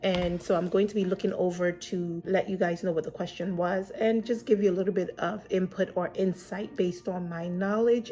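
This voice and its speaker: A woman's voice: lowish voice, dark tone. A woman with a slightly congested voice, giving earnest, encouraging exam-prep advice straight to the camera and checking her notes as she goes. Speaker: exam prep vlogger and mentor. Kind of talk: casual advice vlog to camera. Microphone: camera facing her, laptop beside.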